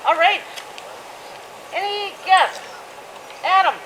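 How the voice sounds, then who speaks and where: A woman's voice: extremely high pitch, slow pace, fairly bright tone. Chatty Minnesotan woman outdoors. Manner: loose, chatty, with fillers. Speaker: meeting presider. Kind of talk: casual club meeting. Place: outdoor park. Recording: noisy outdoor recording.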